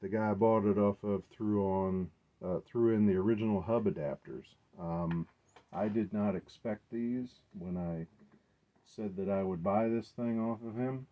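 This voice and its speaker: A man with a low voice rambles through an informal show-and-tell as a hobbyist collector. He speaks in a halting, unscripted way, working out his thoughts as he goes. The recording is dull and muffled. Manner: halting, unscripted, thinking aloud. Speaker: collector presenting his gear.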